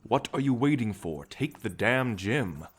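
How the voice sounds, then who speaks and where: An actor playing a greedy, impatient, sour treasure-hunting sailor of the 1790s in an audio roleplay, brusque and exasperated. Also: male voice, medium pitch, expressive, measured pace, husky. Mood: impatient, scornful.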